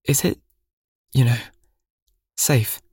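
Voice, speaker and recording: A man's voice: medium pitch, steady tone, slow pace, mellow, breathy edge, no echo, pitched above the performer's own voice. A hesitant young salvager who speaks plainly and carefully, played by a male reader. His voice sits a few steps above the reader's usual, in a dry recording. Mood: cautious. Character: young male ship salvager, wary, in pain.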